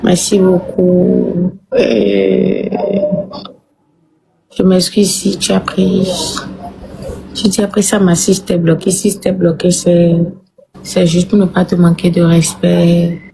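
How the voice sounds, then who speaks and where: A woman's voice: on the low side, level tone, takes it slowly, vocal fry, noisy background. A woman speaking casually in an intimate one-to-one conversation.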